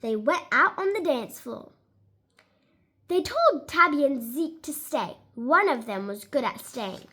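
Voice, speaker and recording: A woman reading a children's storybook aloud in an expressive, deliberate way for young listeners. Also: sits high.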